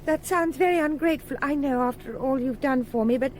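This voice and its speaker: An actress playing an anxious, polite young British secretary in a radio drama, speaking hesitantly. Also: female voice, high-pitched, fairly quick, a little hiss or hum, natural voice. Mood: reluctant, polite.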